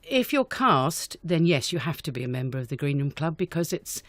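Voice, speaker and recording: A woman's voice: low-pitched, very animated, a little fast, dry recording. A woman answering questions in an unscripted radio interview, chatty and enthusiastic as a guest director. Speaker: guest, amateur pantomime director.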